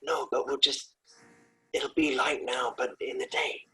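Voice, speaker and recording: Man acting a hushed, needy, pestering rock-star husband. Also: medium pitch, steady tone, a little slow, a little hiss or hum. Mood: eager wheedling. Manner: hushed, wheedling, repetitive. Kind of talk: comic script read aloud.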